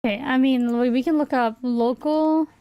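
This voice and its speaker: A woman's voice: high voice, steady tone, moderate pace, dry recording, dull audio. A woman livestreamer chatting casually with viewers, thinking aloud in a hesitant, rambling way. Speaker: livestreamer and VTuber host.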